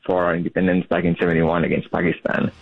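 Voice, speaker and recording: A man's voice: low-pitched, level tone, fast, gravelly. A man from Bangladesh calling in to a radio show, speaking earnestly over a muffled phone line. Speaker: caller. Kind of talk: phone-in call.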